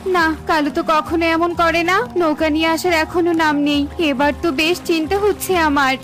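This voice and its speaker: A woman's voice: extremely high pitch, fairly even intonation, moderate pace. A woman voicing a lazy, fretful female crow who exclaims and frets in an animal-fable cartoon, over music. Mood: worried, evasive. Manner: exclaiming, fretting. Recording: clear music under the voice.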